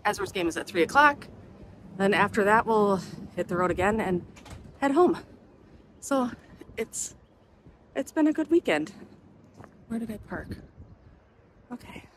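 Chatty mom vlogging into a phone. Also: female voice, medium pitch, lively intonation. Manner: chatty, a bit rushed while walking and carrying things. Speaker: vlogger, mother of teenagers. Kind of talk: casual on-camera chat. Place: car, hotel hallways and parking lot. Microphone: phone held at arm's length. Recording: phone audio with clear background noise.

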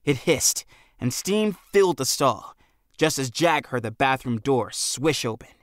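A man's voice: medium pitch, expressive, a little slow, dry recording. A man narrating a story expressively as an audiobook reader, close on a studio microphone.

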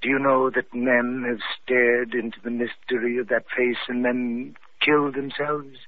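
An eerie, reverent butler, played by a man with a medium-pitched voice. He is formal and entranced, heard through a tinny, narrow vintage radio recording. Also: level tone, measured pace, close to an everyday voice. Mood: formal, brooding. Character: eerie, devoted gaunt butler entranced by a painting. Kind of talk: old radio mystery acting.

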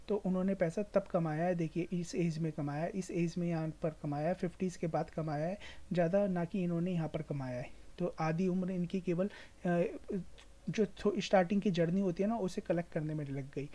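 Mellow low-voiced Indian woman explaining. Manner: emphatic, repetitive, advising; mixes English words into speech. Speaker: stock market video host. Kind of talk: informal explainer over screen share.

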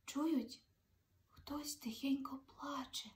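A woman's voice: high voice, steady tone, takes it slowly. A young woman reading a children's fairy tale aloud expressively, as a storyteller. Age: young adult. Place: indoors in a room, seated.

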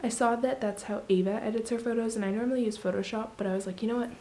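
A woman's voice: a little high, fairly even intonation, racing, slight background noise. A young woman with a stuffy nose from a cold, chatting casually to a handheld camera at arm's length. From Canada. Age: young adult. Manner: chatty, rambling, quiet at night. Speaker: vlogger. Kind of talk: casual daily vlog. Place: bedroom, car and living room at home.

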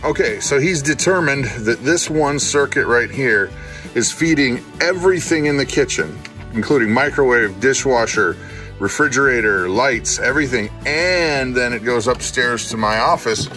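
A man's voice: mid-range voice, animated, normal speed, pushed and bright, soft background music. Easygoing bearded homestead vlogger man. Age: middle-aged. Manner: easygoing, joking, faith phrases. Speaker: vlog host. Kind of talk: casual family vlog chat. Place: home interior, kitchen and living room.

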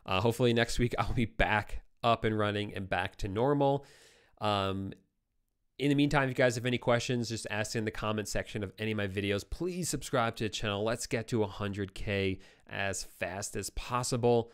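Young male livestream host, slightly low voice, worn and hoarse from talking, relaxed answers into a close boom mic. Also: moderate pace. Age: young adult. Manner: relaxed, conversational, reads viewer comments aloud. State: voice getting tired and hoarse. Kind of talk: casual live Q&A. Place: home studio with brick wall. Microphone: boom-arm studio microphone close up.

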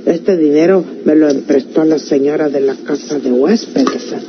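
A woman's voice: sits low, moderate pace. A woman with a rural Mexican accent playing a plain-spoken, resolute country woman in a radio serial, earnest and rustic. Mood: matter-of-fact. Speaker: actress in a radio drama. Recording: muffled old radio recording, clear noise.

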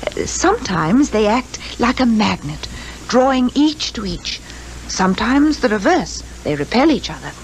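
Fluttery British secretary, very lively intonation. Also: female voice, fairly high pitch, measured pace. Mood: rambling, enthusiastic. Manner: talkative, fluttery, opinionated. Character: chatty, scatty female secretary, eager to please. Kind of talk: 1950s radio drama acting. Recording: noisy 1950s radio recording.

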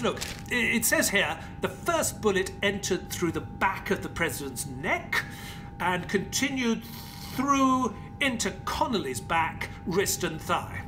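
Puzzled polite gentleman sidekick, takes his time, voice goes up and down a lot, audio play. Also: high-pitched. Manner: hesitant, earnest, old-fashioned politeness. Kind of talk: audio play acting and storytelling.

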